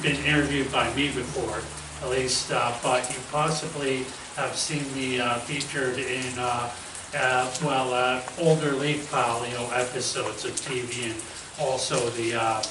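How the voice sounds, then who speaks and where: A man's voice: mid-range voice, steady tone, measured pace. A man hosting a radio show and interview in a casual, goofy, rambling way. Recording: roomy, far from mic, noisy.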